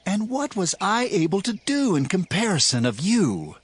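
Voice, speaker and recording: An actor playing a bold Israelite war leader in a dramatized Bible reading, speaking in a declamatory, emphatic way. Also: male voice, sits high, big pitch swings, normal speed, slightly rough, full character voice. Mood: placating. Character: Gideon, adult male Israelite war leader, commanding and bold.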